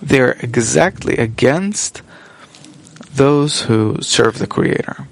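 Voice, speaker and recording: A man interpreting a spiritual teacher live, working through each thought in measured, halting phrases. His delivery is unhurried, and his mid-range voice carries a gravelly edge. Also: medium pitch, expressive. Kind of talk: simultaneous interpretation from another language.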